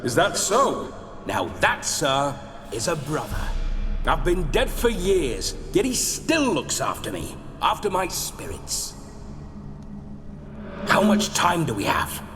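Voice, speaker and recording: A man's voice: fairly high pitch, wildly swooping pitch, slow, fairly bright tone, heavily acted. An actor playing a roguish, bawdy ghost of a dead nobleman in a video game, with theatrical, swaggering, old-fashioned speech. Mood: delighted, eager. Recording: long reverb, music behind.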